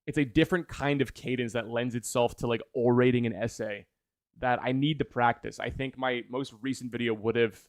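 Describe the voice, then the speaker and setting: A man talks casually to his livestream viewers in a mid-pitched voice with very little room sound. He is enthused and rambles, often doubling back to fix what he just said. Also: steady tone, fairly quick, no echo, studio quality. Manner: rambling, enthused, self-correcting. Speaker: video essayist, livestream host.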